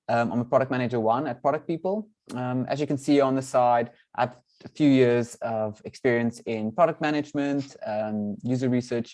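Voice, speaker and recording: Casting note: young man whose first language is Afrikaans, mellow voice, mid pitch, friendly, filler-heavy webinar host on a video call. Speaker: event host, product manager. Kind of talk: webinar introduction and audience poll.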